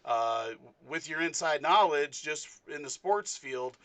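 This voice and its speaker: Male sports podcast host, bright forceful tone, dry close sound, loose rambling delivery. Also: on the high side, lively intonation, a little slow, dry recording. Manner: rambling, many fillers. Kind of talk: casual interview chat.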